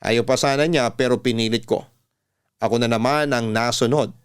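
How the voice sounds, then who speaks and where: A male radio host narrates a heartfelt letter in the first person. His voice is mid-pitched, smooth and resonant, with measured, sentimental delivery. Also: steady tone.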